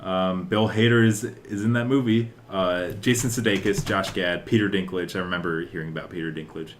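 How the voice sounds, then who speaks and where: Male podcast co-host, low register, loose conversational delivery that wanders as he thinks. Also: fairly even intonation, a little fast, slight background noise. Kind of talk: casual chat about games and films.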